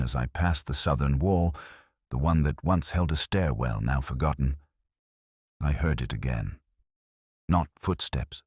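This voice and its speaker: A man playing a brooding, erudite English detective who narrates a mystery in measured, introspective, literary phrases with a husky voice. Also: very deep voice, no room sound, clean studio sound, full character voice. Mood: brooding, reflective. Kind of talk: scripted first-person mystery narration.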